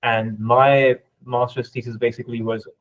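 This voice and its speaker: A man's voice: mid-range voice, little pitch movement, moderate pace, poor audio. A man whose native language is Urdu, informally explaining a technical subject as a podcast guest in a didactic way, often checking in with the listener.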